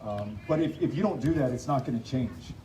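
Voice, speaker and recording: A man's voice: mid-range voice, animated. Guy doing political q&a at a meeting, earnest and stop-start, far from mic, lots of noise. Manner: earnest, halting with repeated words. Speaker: political speaker answering audience questions at a town hall. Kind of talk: off-the-cuff public speaking, Q&A. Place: park pavilion, community meeting. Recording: roomy, distant live-stream sound, loud background noise.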